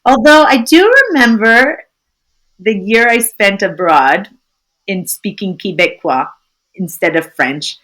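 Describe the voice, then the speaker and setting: A woman from Canada with a friendly, sing-songy voice, a guest telling lively stories in a casual interview and laughing often. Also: medium pitch, slow, poor audio. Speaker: tour business owner, guest.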